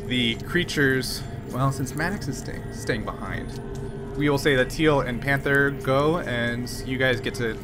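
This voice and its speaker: A male game master describes the scene with frequent pauses and fillers. His pitch sits in an ordinary middle range. Music plays steadily behind him. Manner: halting descriptive narration with many fillers. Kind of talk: narrating a tabletop game.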